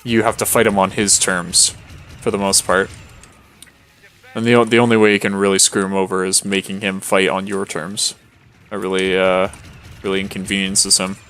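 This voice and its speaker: A tired man streaming a game late at night, rambling in a flat, monotone way with lots of fillers and restarts. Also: low-pitched, moderate pace, clean tone. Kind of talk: casual gameplay commentary.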